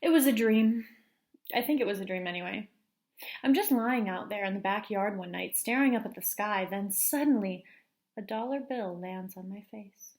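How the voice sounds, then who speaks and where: A woman's voice: mid-range voice, moderate pace, darkish tone, lightly acted. A woman voicing an eager, money-loving eleven-year-old girl who narrates a storybook earnestly and with dramatic emphasis. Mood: dreamy, excited. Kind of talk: storybook first-person narration.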